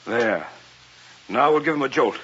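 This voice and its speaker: An actor playing a bold, eager male professor, formal and deliberate, in old-time radio drama style. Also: mid-range voice, expressive, a bit bright. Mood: formal, intent. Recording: muffled vintage radio, faint music.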